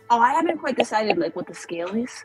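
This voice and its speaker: A woman's voice: a little high, sing-song, a little fast, over loud music. A young woman playing a tabletop roleplaying game, chatting in character with lots of fillers and giggles. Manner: rambling, filler-heavy, giggly. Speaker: player.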